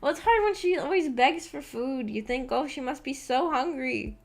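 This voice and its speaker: A woman's voice: sits high, animated, moderate pace, gravelly. A woman streamer chatting casually with viewers, telling stories in a rambling, hesitant way and reading out comments as she goes. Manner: rambling, hesitant storytelling with frequent "like". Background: background music.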